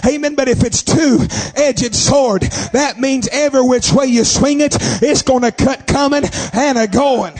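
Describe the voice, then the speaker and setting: A man preaching a fervent revivalist sermon, emphatic, with drawn-out half-sung phrases, shouts and calls to the congregation. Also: very high voice. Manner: frequent "amen" interjections and calls to the congregation.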